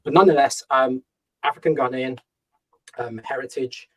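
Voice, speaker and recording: Man, Ga, founder guest on a video call; husky, earnest, repetitive, emphatic. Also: mid-range voice, steady tone, normal speed, fairly bright tone, poor audio. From United Kingdom. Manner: earnest, emphatic, repeats words, asks himself questions. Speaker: startup founder and CEO, guest. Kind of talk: fireside chat on a video call.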